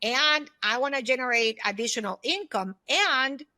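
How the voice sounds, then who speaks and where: A woman's voice: sits high, expressive, moderate pace, bright, forceful tone, no room sound, studio quality. A woman in her fifties speaking conversationally as an interview guest, giving advice emphatically and often checking for agreement. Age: 50s. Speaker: podcast guest, former aerospace executive.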